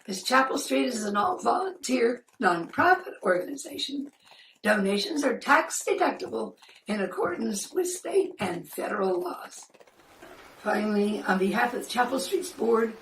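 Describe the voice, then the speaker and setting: A woman's voice: a little high, fairly bright tone. A woman giving a scripted thank-you and appeal to viewers as a theater spokesperson, recorded in a living room. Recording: roomy, distant mic, faint noise.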